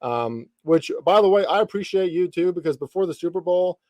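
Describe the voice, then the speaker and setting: A man's voice: high-pitched, lively intonation, a little fast, a little mellow, dry recording. A man in his thirties hosting a podcast, chatting casually about sports in informal, slangy language with some light swearing. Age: 30s. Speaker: podcast host interviewing a guest.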